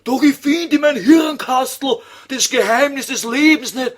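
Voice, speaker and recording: A man's voice: very high voice, measured pace. A man voicing a grumpy old scholar in Viennese dialect for an audio comedy sketch, whining and impatient. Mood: whining, brooding.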